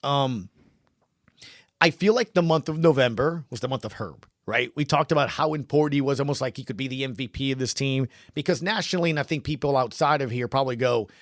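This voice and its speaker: A man's voice: mid-range voice, a little fast, no room sound, studio quality. A man hosting a sports podcast, talking informally and conversationally as he thinks aloud.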